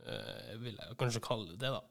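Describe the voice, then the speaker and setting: A man co-hosting a podcast in casual conversation, speaking in a hesitant, halting way. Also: on the low side, huge pitch swings, gravelly, a bit bright. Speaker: podcast co-host.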